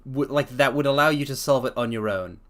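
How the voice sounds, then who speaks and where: A man reading a story aloud on a live stream, his voice at an ordinary middle pitch. He stumbles, restarts lines and breaks off for casual, self-conscious comments. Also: a little fast, a bit bright. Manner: hesitant, self-correcting, chatty asides.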